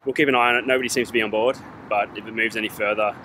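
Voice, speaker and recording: A man on a sailboat giving calm, matter-of-fact updates to the camera. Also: fairly low pitch, very fast, background noise. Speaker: sailing vlogger. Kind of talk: casual on-board updates. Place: outdoors on a sailboat.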